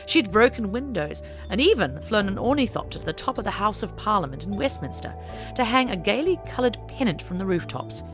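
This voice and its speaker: A woman's voice: medium pitch, sing-song, brisk, over music. A woman reading a scripted story aloud as an audiobook narrator.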